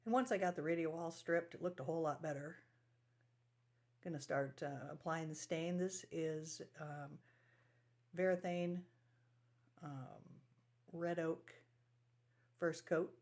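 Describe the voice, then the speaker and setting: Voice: mid-range voice, fairly even intonation, slow, long pauses, a little mellow. A speaker casually narrating a hands-on restoration project as the host, with folksy, colloquial phrasing.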